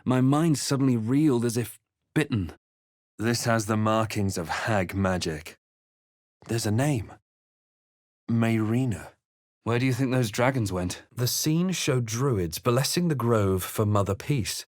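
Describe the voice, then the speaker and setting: A man voicing a grave, watchful fantasy hero in a video game, with measured, dramatic delivery. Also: mid-range voice, lively intonation, darkish tone, dry recording, studio quality, lightly acted. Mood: wary, observant. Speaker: video game player character voice actor. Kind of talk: scripted game narration and character lines.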